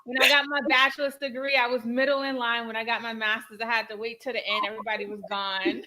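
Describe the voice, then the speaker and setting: A woman's voice: on the high side, little pitch movement, quick pace, pushed and bright, low-quality recording. A woman hosting a podcast interview, casual and friendly, with light joking. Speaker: podcast host.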